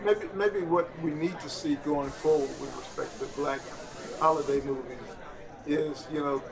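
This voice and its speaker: A man's voice: on the high side, fairly even intonation, normal speed, gravelly, distant mic, crowd noise, rough recording. A man giving his views casually as a panelist in a group discussion.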